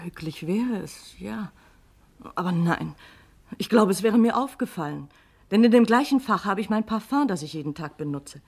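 A woman's voice: medium pitch, animated, normal speed, creaky, a little mellow, husky. An actress playing a well-off lady of the house, composed and decisive with a fretful edge, in a German radio crime play. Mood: puzzled, thoughtful.

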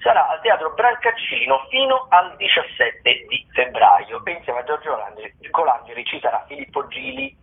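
A man's voice: on the high side, sing-song, normal speed, hardly any pauses. A man who is a theatre critic, calling in with the week's listings in a hesitant, rambling way full of fillers. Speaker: theatre critic, weekly contributor. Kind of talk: casual phoned-in theatre listings. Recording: husky phone line over radio.

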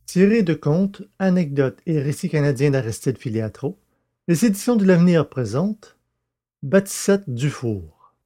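A man's voice: fairly high pitch, lively intonation, measured pace, mellow. A man hosting a podcast and reading stories aloud in scripted narration. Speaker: podcast host and audiobook reader.

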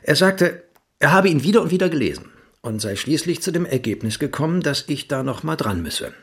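An actor playing an easygoing, evasive writer in an audio drama, with a casual, naturalistic delivery. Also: male voice, a little high, very animated, brisk, fairly bright tone, breathy edge, exaggerated voice. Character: easygoing, evasive male writer, live-in boyfriend.

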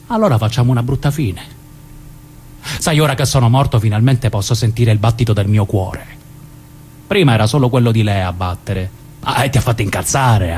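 A man's voice: mid-range voice, a little mellow, natural voice. Guy acting a bitter dead hitman, defiant, brooding, stage drama, some noise behind him. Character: bitter, reflective dead young 'ndrangheta killer, defiant. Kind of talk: theatrical dramatic reading.

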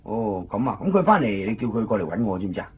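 An actor playing an excitable, anxious young man in a 1990s Cantonese radio drama, speaking colloquial Hong Kong Cantonese as he lives and narrates his story. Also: fairly low pitch, fast, a little hiss or hum. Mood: nosy, casual. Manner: colloquial, expressive. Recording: dull 1990s radio recording, loud music behind. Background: music.

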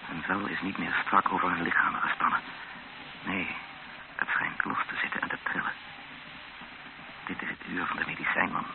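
A man's voice: sits low, little pitch movement, normal speed, long pauses. A male actor playing a captive Dutchman in a radio drama, recounting and living his ordeal in a sober, earnest, tense way. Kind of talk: first-person radio drama narration and dialogue. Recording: tinny old radio recording with clear noise.

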